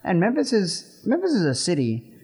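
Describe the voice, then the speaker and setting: A man's voice: high-pitched, moderate pace, breathy edge. A young man with a Texan accent, co-hosting a college radio show in casual, rambling chat. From Texas. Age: young adult. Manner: relaxed, rambling with many "like" fillers.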